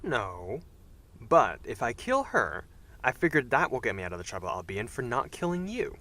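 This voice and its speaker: A man voicing a cocky, charming young dragon assassin in a teasing, flippant way for an animated fan dub. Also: medium pitch, normal speed. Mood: flippant, coaxing.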